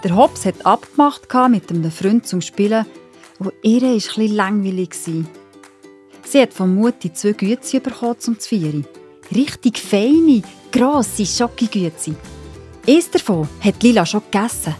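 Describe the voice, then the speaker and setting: A woman's voice: mid-range voice, lively intonation, moderate pace, a little mellow. Swiss German woman narrating over music. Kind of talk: children's story narration.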